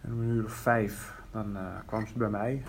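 An actor playing an older widowed father who talks plainly and haltingly about his missing daughter in a fiction podcast. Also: fairly low pitch, little pitch movement, slight background noise, close to an everyday voice, poor audio. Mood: subdued grief. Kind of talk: fiction podcast, naturalistic interview acting.

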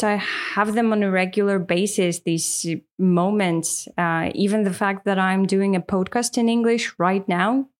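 A woman, co-hosting a casual podcast as an English teacher, talking in a friendly, earnest way with frequent fillers. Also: mid-range voice. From Russia. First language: Russian.

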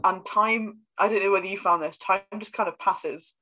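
A woman's voice: mid-range voice, fairly even intonation, moderate pace, sharp tone, poor audio. A woman, co-hosting a casual podcast, teasing and rambling with many fillers. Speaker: podcast co-host. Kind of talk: casual chat.

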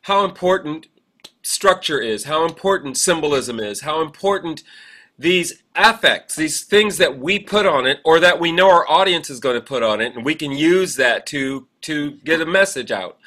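A man with a bright, forward voice, pitched a little high, co-hosts a relaxed discussion. He reflects at some length, stressing key words and building his point through repetition. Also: normal speed. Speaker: podcast co-host, military veteran. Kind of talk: casual, reflective interview conversation.

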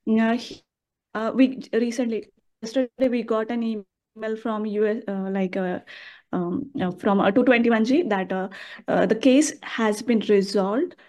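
A woman calling for advice, explaining her situation haltingly, a few words at a time. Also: on the high side, steady tone, warm tone. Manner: halting, phrase by phrase. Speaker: caller seeking legal advice. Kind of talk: explaining her case on a call.

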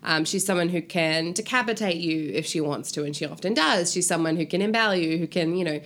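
A woman's voice: on the low side, fairly quick. A woman speaking casually and informally as a guest author in an interview. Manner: animated, informal, frequent "like" and swearing.

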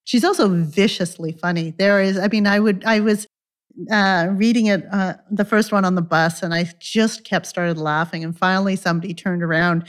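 A woman interviewing an author, speaking thoughtfully with frequent pauses and fillers. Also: medium pitch, steady tone. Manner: thoughtful, halting with fillers, enthusiastic. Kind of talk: conversational interview.

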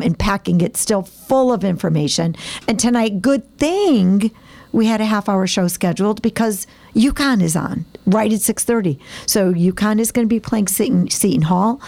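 A woman hosting a hospital talk show, hoarse and raspy from losing her voice, chatty and upbeat. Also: medium pitch, a little mellow. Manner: chatty, upbeat, laughs often.

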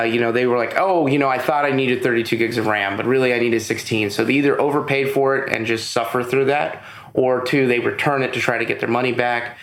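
A man of middle pitch speaking as a podcast guest, explaining things in a loose, rambling way with plenty of "um"s and "you know"s. His voice carries a noticeable roomy echo from being recorded in the same space as the host. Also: a bit bright. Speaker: interview guest, business owner. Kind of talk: casual interview chat. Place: room with audible echo, recorded in person.

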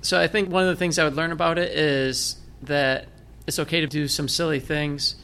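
Gravelly-voiced Michigan man telling stories. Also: a little high, flat delivery, moderate pace, bright, forceful tone. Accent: Northern Michigan American. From Michigan, United States. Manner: self-deprecating, joking. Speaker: guest, blind military veteran. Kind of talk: conversational interview storytelling.

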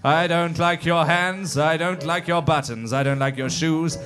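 An actor playing a rude, blustering British official in a comic audio sketch, blunt and grumbling. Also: male voice, a little high, steady tone, unhurried, fairly bright tone, soft background music.

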